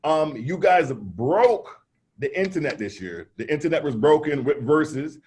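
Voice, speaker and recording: A male talk show host who opens with a big hyped introduction and keeps the chat going with quick affirmations. His voice sits on the higher side for a man. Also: lively intonation, a little mellow, slightly rough. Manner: hypey introductions, frequent affirming "right". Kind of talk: interview hosting.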